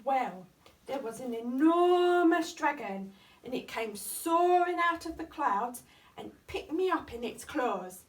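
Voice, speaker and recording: A woman giving an expressive reading of a children's bedtime story. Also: sits high, slow, recorded from a distance, poor audio. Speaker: storytime reader at a primary school.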